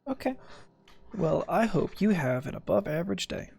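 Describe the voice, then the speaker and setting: A woman's voice: on the low side, animated, moderate pace, vocal fry, a little mellow, breathy edge. A woman giving casual, flippant, joking commentary while streaming a video game. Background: game music.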